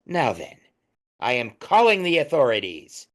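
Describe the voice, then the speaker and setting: An actress playing a bitter, hot-headed accuser in an audio play, dramatic and confrontational. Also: female voice, a bass voice, very animated, a little slow, full character voice. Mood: furious, threatening. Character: bitter, hot-headed accuser who threatens to call police. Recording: clearly noisy with clicks.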